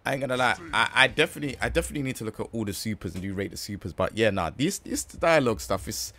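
A man's voice: on the low side, animated, racing, bright, forceful tone. A young man casually reacting to a video game from a small room, with slangy, easygoing chatter. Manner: laid-back slangy chatter with frequent laughs. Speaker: gaming streamer reacting to a game.

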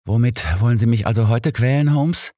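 Man voicing a grumbling old sidekick, pitched up. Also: fairly quick, dark tone, dry recording, clean studio sound, close to an everyday voice. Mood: resigned. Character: elderly male sidekick, grumbling, sardonic, long-suffering.

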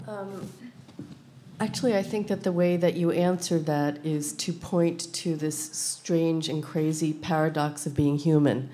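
A woman's voice: low-pitched, steady tone, unhurried, mellow. A woman giving remarks as a panelist in a discussion, recorded at an indoor event. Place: indoor panel event in a plain gallery room. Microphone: handheld microphone. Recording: clear background room noise.

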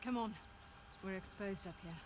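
A woman's voice: medium pitch, normal speed, lightly acted. A woman playing a tough, stern female mercenary, curt and guarded, in an action video game. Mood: businesslike, stern. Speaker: video game character actor. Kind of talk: cinematic action game dialogue. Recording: game ambience noise behind voice.

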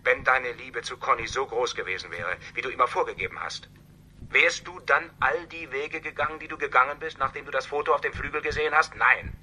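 Calculating false friend, very sharp bright voice, evasive, cool, radio crime drama, faint noise. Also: male voice, mid-range voice, a little fast. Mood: reproachful.

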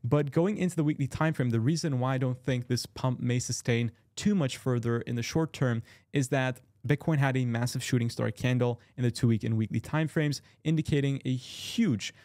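A young man talks through trading charts quickly and casually, full of fillers and restarts, his throat a little sore. He sits close to a studio mic, and the sound is dry and close with a mid-range pitch. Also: darkish tone, no echo. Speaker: crypto chart analyst. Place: small room at a desk. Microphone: large studio mic close in frame.

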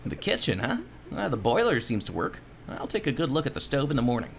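Man voicing a plucky, animated young game hero. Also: mid-range voice, fast, creaky, a little hiss or hum. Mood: brisk, appraising. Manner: theatrical, self-satisfied declarations. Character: plucky, boastful young male adventurer-cook in a cartoon game. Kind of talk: game dialogue read aloud as a character.

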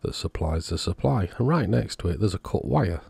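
A man's voice: low-pitched, expressive, brisk, gravelly, a little mellow, breathy edge, no echo. A man giving an informal, matter-of-fact narration of a hands-on repair, thinking aloud as he works. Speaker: electronics repair YouTuber.

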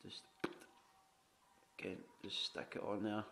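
A chatty man with a low-pitched voice walks through his half-stripped flat. He talks casually, swears freely and keeps asking "you know what I mean". The handheld camera mic catches faint clicks and handling noise. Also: slow. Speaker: home renovator. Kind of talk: walkthrough tour narration. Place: indoors in an empty flat under renovation.

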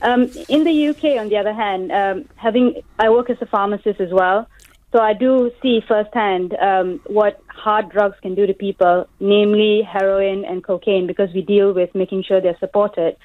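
A young woman from Malaysia, working as a pharmacist, calling a radio phone-in and explaining her view earnestly with many pauses and ums. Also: medium pitch, steady tone. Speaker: caller, pharmacist. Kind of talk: phone-in call. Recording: tinny phone line.

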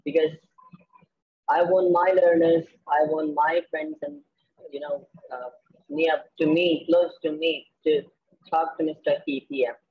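Husky-voiced man hosting an online class. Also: on the high side, fairly even intonation, a little slow, phone-quality sound. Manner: hesitant, full of fillers. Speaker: teacher and class host. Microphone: video call.

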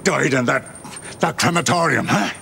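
Gravelly, grieving older Dublin father. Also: on the high side, expressive, sharp tone, slight background noise, heavily acted. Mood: angry, confronting. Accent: Dublin Irish. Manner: intense, halting, accusing. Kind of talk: television drama acting.

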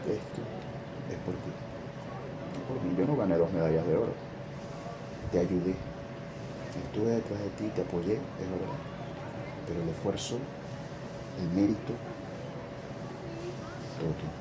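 A man's voice: deep voice, unhurried, long pauses. A man in his thirties with a Latin American Spanish accent, doing a casual ASMR roleplay, thinking aloud and repeating himself hesitantly. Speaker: ASMR creator playing a coach. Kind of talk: ASMR roleplay, casual one-sided chat. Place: small plain room indoors. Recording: clearly noisy home recording, background hum.

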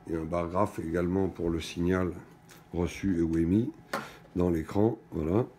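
Deep, mellow man presenting haltingly. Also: steady tone, slight background noise. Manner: halting, stop-and-go phrasing with trailing pauses. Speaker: product presenter. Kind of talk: unscripted hands-on product demonstration. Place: indoors at a wooden table in a small shop or office.

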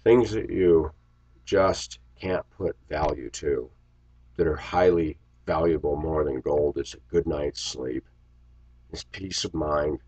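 A man's voice: low voice, darkish tone, breathy edge. An American man in his forties, congested from a cold, talking reflectively and casually to viewers in a rambling confessional vlog. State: congested, getting over a cold. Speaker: vlogger. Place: small indoor room with shelves. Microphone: webcam microphone at desk distance. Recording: dull lo-fi webcam audio.

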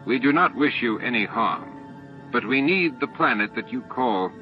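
A measured, falsely regretful alien commander, voiced by a male audiobook reader, speaks formally to his hive. The recording is muffled, with faint music behind. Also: mid-range voice, animated, pitched above the performer's own voice, close to an everyday voice. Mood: menacing, righteous. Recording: dull lo-fi tape audio with music.

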